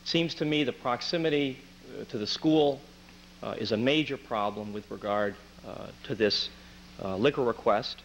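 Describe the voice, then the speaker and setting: A hoarse man in his thirties, a physician, speaking at a town council public comment from a podium mic, earnest and clearing his throat often. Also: medium pitch, moderate pace, fairly bright tone, highs cut off, slight background noise.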